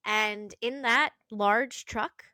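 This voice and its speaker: A woman's voice: a little high, extremely slow, sharp tone, clear, resonant tone, no echo, studio quality. A young woman co-hosting a casual podcast chat, telling stories with frequent swearing and laughter. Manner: chatty storyteller, swears, laughs often. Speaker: podcast co-host.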